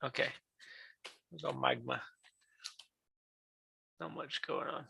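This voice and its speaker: Male streamer with an accent, bright and gravelly, faint hiss behind. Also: mid-range voice. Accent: non-standard English accent. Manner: excitable, quick reactions, trailing off quietly. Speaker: live stream co-host opening card packs. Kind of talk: casual live stream chat.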